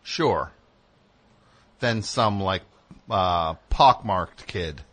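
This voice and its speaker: A man hosting a comedic radio call-in show, chatting casually in an excitable, rambling way with sudden jumps in pitch. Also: extremely slow. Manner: excitable, rambling, jumps between high and low. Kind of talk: casual comedic phone chat. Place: radio studio.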